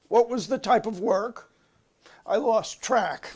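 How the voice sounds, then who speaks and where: A man's voice: high voice, slow, husky, heavily acted. A man reading the part of a formal, persistent committee counsel who questions a witness with procedural precision. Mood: insistent. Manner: formal, procedural, insistent on exact dates. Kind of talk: dramatic reading of a hearing transcript.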